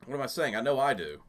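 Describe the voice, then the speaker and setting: A man giving casual, jokey let's play commentary, muttering to himself as he goes, as the host of a gaming channel. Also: mid-range voice.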